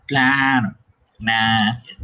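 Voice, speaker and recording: A man's voice: mid-range voice, fairly even intonation, drawn-out words, sharp tone, clean tone, heavily acted. A man voicing a cheerful, earnest creature guild master in a live amateur dub of a game.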